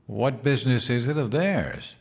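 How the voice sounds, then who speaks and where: An actor playing a dim-witted, naive American president-elect in a comedic audio drama, with a hesitant, bumbling way of talking. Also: male voice, mid-range voice, moderate pace, creaky, natural voice.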